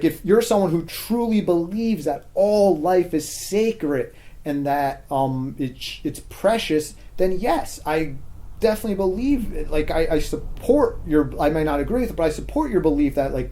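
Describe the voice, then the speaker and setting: An American man talking casually and argumentatively as a podcast guest, halting often and leaning on filler words. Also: on the high side, warm tone. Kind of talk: casual opinionated conversation.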